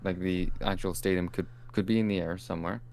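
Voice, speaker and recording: A man acts out a gentle, hesitant angel in improvised comedy. The voice is deep, smooth and clear. He speaks tentatively, haltingly searching for words as a calm peacemaker. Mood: thoughtful. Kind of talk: improvised comic role-play.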